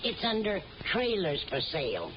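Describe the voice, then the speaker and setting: A woman's voice: mid-range voice, huge pitch swings, moderate pace. An actress playing a scatterbrained, kindly older woman, earnest and fussy, in an old-time radio comedy. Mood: insistent. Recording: muffled old radio broadcast, faint noise.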